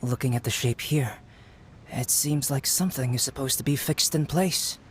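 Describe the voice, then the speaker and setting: A man voicing a calm, analytical young scholar in a video game. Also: mid-range voice, normal speed, a little hiss or hum, lightly acted. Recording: music with mallet percussion behind the voice.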